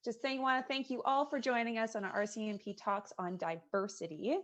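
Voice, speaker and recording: A woman's voice: on the high side, a little fast. Cheerful woman moderating a video call. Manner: cheerful, energetic welcome. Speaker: youth services presenter and event moderator. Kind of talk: upbeat event hosting.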